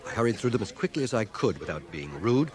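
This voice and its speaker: An actor playing a wry returned soldier, narrating his memories in a measured, literary radio-drama style. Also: on the low side, big pitch swings. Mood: wry, reflective. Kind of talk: scripted first-person radio drama narration. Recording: 1980 radio drama cassette, music and crowd behind. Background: music and crowd.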